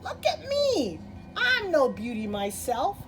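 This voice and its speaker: A woman's voice: high-pitched, huge pitch swings, takes it slowly, faint music behind, voice pushed up, heavily acted. A woman voicing a frank, kindly male glowworm in a storybook, matter-of-fact in manner. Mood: frank, reassuring.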